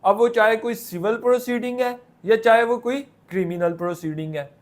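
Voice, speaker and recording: A man's voice: sits high, a little fast. A man with a Pakistani accent giving an explanatory lecture, in a didactic way that poses questions and then answers them. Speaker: law lecturer.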